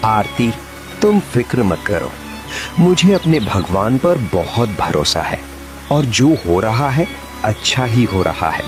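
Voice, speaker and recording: A man's voice: lowish voice, big pitch swings, takes it slowly. A man playing a poor, devout farmer in a moral-story cartoon, speaking with patient, earnest reassurance. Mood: reassuring. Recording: music behind the voice.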